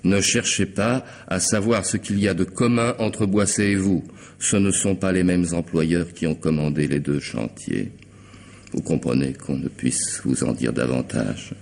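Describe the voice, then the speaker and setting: Sardonic, very deep-voiced gravelly hired killer. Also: male voice, steady tone, darkish tone. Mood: cajoling, sinister. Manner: mocking, unhurried, falsely polite. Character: sardonic, insinuating male hired killer, half-mad and courteous. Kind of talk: French radio mystery drama acting.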